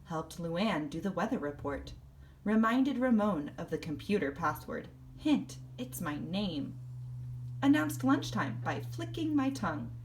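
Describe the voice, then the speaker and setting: A woman's voice: medium pitch, sing-song, a little slow, a little hiss or hum, poor audio. A woman voicing a self-important, theatrical male class pet lizard in a children's storybook. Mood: proud, boastful. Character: vain, dramatic male class pet lizard journaling his adventures. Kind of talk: first-person children's storybook read-aloud.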